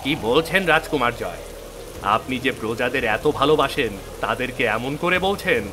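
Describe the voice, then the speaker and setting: A man's voice: medium pitch, expressive, moderate pace, background noise, close to an everyday voice. A man voicing a kindly cartoon royal minister, speaking with earnest concern. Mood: worried, earnest. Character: kindly, caring royal minister of fairy-tale kingdom.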